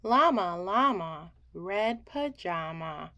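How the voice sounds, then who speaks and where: A woman reading a children's bedtime story aloud in an expressive, theatrical way that acts out the emotions in the words. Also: lowish voice, takes it slowly.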